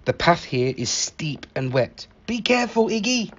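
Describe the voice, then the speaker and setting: A man reading a picture book aloud in an expressive, animated manner, acting out the exclamations. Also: sits high, moderate pace, dull audio. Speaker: library staff story reader.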